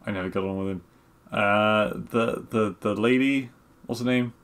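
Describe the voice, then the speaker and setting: A man with a lowish voice talks as a podcast co-host. His delivery is conversational and unscripted, and he hesitates as he pieces his thoughts together. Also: steady tone, normal speed, fairly bright tone. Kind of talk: casual chat.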